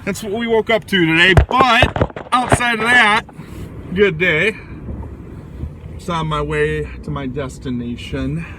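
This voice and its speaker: A man records himself in a moving car, with clear vehicle noise around his voice. His voice sits a bit high and is loud. He talks in a casual, rambling way, giving blunt, opinionated sports commentary. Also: takes it slowly, long pauses. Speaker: vlogger giving football commentary.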